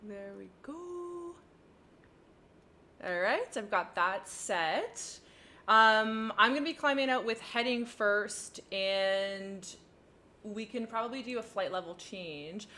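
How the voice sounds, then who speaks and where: Bright-voiced chatty French Canadian woman. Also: medium pitch. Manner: chatty, giggly, explains her cockpit steps aloud. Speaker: flight sim livestream host. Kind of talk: casual livestream chat while flying.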